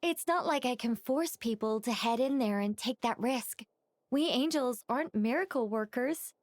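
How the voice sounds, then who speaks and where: A woman voicing a cautious, dutiful adult female angel who speaks in a measured and wry way in video game dialogue. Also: on the high side, natural voice. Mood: reluctant.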